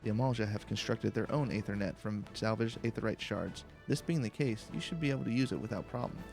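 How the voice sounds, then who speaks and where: A man reading video game dialogue aloud in a calm, slow, deliberate way for a let's play. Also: lowish voice, vocal fry. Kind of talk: calm, slow-paced read-aloud narration. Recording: faint background music. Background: faint game music.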